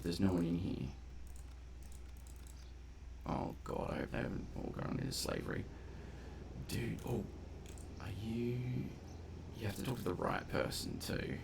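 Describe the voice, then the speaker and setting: A man's voice: deep voice, creaky, background noise. A man giving casual, playful gameplay commentary, half muttering his reactions to himself. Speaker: game streamer and commentator.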